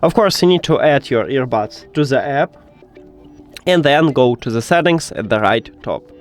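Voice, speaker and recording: A man's voice: medium pitch, animated, moderate pace, soft background music. Non-native English-speaking man giving tech instructions. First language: not English. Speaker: tech tutorial host. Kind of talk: step-by-step instructional narration.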